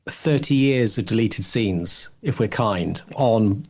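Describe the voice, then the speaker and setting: A man's voice: lowish voice, expressive, slow. Middle-aged man, casual co-host chat, rambling, musing, hedging as he goes. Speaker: podcast co-host.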